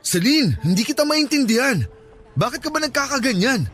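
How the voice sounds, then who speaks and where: A man's voice: very high-pitched, lively intonation, a little fast, a bit bright, full character voice. A man with a Filipino accent playing an older, playful, insistent and confused former sweetheart in a radio drama. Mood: puzzled, earnest. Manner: teasing, coaxing. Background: music.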